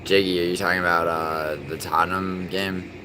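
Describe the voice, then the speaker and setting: A man from New York City streaming live, chatting casually and cursing in excitable outbursts between mumbled fillers. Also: deep voice, normal speed, background noise. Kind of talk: casual live stream chat, reacting to soccer and reading chat.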